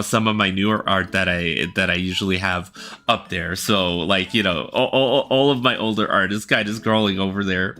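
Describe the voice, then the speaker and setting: Rambling male streamer, bright voice. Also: on the low side. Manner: rambling, talks directly to viewers with frequent "right?". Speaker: livestreamer and illustrator. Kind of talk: casual livestream chat. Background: faint background music.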